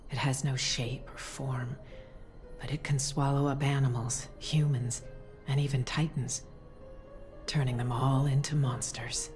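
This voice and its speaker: An actress playing a gentle, doting priestess mother in a video game, soothing and measured, with a soft breathy voice. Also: very deep voice. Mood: soft, grave.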